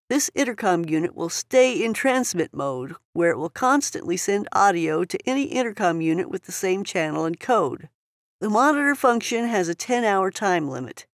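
A woman's voice: medium pitch, dry recording, clean studio sound. A woman reading scripted instructional narration for a product tutorial.

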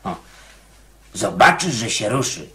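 An actor playing an anxious, eager, simple-minded prisoner in a radio drama, excitable, pleading and insistent. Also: male voice, lowish voice, measured pace, full character voice. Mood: solemn, urging.

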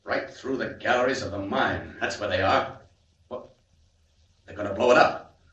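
A man's voice: fairly low pitch, normal speed, a bit bright, lo-fi sound, natural voice. An actor playing a bold, urgent male superhero in a 1940s radio serial. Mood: alarmed. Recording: echoey, distant, noisy 1940s radio recording.

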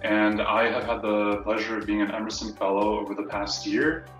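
Casting: young man, slightly low voice, casual student presenter on a roomy video call with music behind. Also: level tone, normal speed, a bit bright, muffled recording. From Canada. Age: young adult. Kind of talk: casual personal talk to camera. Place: indoor room at home, on video call. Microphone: camera mic at a distance. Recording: roomy echo, distant mic, music behind.

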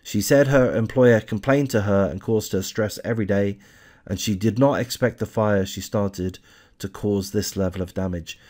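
A man's voice: lowish voice, normal speed, a little mellow. A man hosting a true crime channel, casually reading a news article aloud with lots of hesitant fillers and restarts, and giving blunt opinions.

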